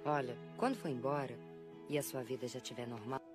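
A woman voicing a cool, tough yet sympathetic street racer in an animated film dub, laid-back. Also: extremely low pitch, fairly quick. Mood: calm, understanding. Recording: loud film music behind the voice.

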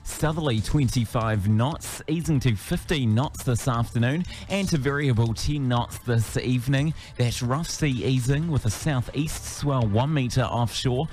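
A man's voice: mid-range voice, measured pace, hardly any pauses, darkish tone. A man presents a scripted radio weather and marine forecast. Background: music bed.

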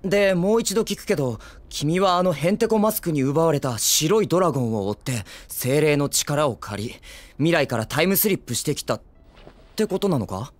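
A man voicing a brash, excitable teenage boy hero in an anime film, with cocky, eager, boyish speech. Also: a little high, normal speed, close to an everyday voice. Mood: curious, friendly. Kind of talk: Japanese anime film acting.

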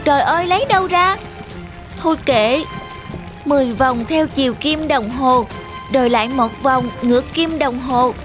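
An eager little cartoon monkey boy voiced by a woman, very high and childlike. He is naive, polite and earnest. Music is clearly heard behind the voice. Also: moderate pace, close to an everyday voice. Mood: mischievous, excited. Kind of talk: dubbed children's moral cartoon.

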